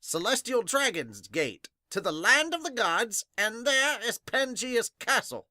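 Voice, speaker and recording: Animated manga-reading podcast host. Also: mid-range voice, no room sound. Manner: animated, announces captions in a drawn-out dramatic way. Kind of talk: dramatic manga read-aloud with casual reaction comments.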